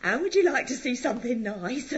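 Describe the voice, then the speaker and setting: A saucy, middle-aged English cleaning woman in a radio drama. Her husky voice sits fairly high and is very lively, gossipy and flirtatious. The recording sounds a little muffled. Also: a little fast, full character voice. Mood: saucy, playful. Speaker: actress in a radio play.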